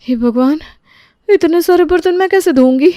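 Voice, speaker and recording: A woman's voice: very high voice, normal speed, dark tone, no echo, studio quality, voice pushed up. A woman playing an overworked young daughter-in-law in an audio story, weary, whining and plaintive. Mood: dismayed.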